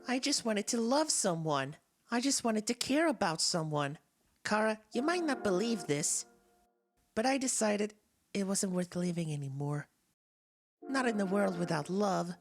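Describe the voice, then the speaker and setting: Soulless talking flower for a game fan dub, played by a woman: theatrical, weary yet menacing, animated delivery over soft music. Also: mid-range voice, unhurried. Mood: wistful, confiding. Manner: confiding, theatrical, swinging between wistful and menacing. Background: game soundtrack music.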